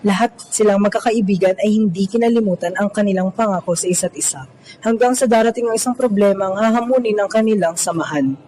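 A man's voice: high voice, fast talker, mellow, a little hiss or hum, low-quality recording. A man reading scripted storytelling narration for an amateur student radio drama.

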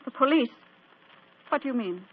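A woman's voice: sits high, expressive, normal speed, husky. An actress playing a composed, commanding aristocratic lady in a 1940s radio drama. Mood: alarmed. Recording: noisy, tinny vintage radio broadcast.

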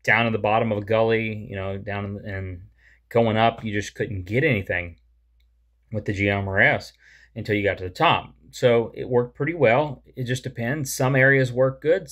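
Man, 30s, lowish voice, rambling hobbyist vlogger, filler-heavy, close camera in a home room. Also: a bit bright. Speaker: holster maker and radio hobbyist. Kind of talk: casual talking-head explanation. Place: small room at home with a wall map. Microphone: camera close at face level.

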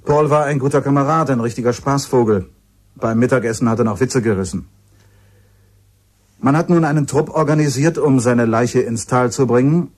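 A man playing a grave radio reporter in a radio play, speaking in a measured, solemn way with a husky voice. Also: mid-range voice, darkish tone, lightly acted.

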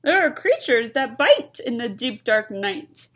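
A woman voicing a bossy male skeleton in a storybook, loudly and emphatically sending a child to bed. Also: on the high side, big pitch swings, unhurried, exaggerated voice. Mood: stern warning. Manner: loud, emphatic ordering.

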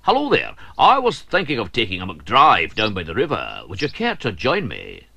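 A man's voice: lowish voice, wildly swooping pitch, normal speed, vocal fry, sharp tone, husky, dry recording, voice pushed up, exaggerated voice. A man voicing a prim, fussy male vehicle. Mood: cheerful.

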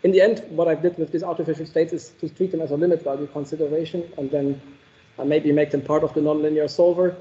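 A man's voice: a little high, fairly even intonation, quick pace, dark tone, lo-fi sound. A man answering audience questions after a technical keynote, thoughtful and hesitant, with many fillers.

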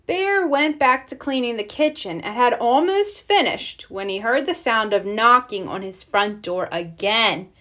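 A woman reads a children's story aloud with deliberate emphasis. Her voice is fairly high and very animated, and she takes her time over the words. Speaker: storybook reader. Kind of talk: expressive children's read-aloud narration.

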